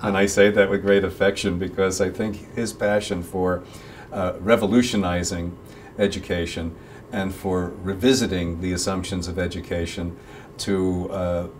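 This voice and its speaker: A man's voice: sits low, slight background noise. A middle-aged man interviewing a guest, asking questions and affirming with short replies. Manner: affirming, many short backchannels. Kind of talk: interview conversation.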